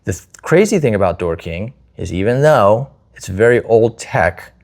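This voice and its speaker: A man's voice: fairly low pitch, expressive, normal speed, darkish tone. A man talking casually and explaining his opinions as a host, often walking through hypothetical examples. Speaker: host, security installer.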